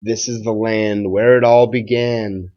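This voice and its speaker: Slow-paced man reading aloud to children. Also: on the low side, flat delivery, mellow, highs cut off. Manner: measured, simple explanations addressed to children. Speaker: schoolteacher reading aloud to pupils. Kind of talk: children's read-aloud and talk to pupils.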